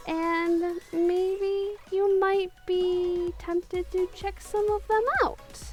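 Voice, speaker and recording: A woman casually chatting through her favorite picks, gushing and giggly, often restarting her sentences. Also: a little high. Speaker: video creator. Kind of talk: casual countdown chat.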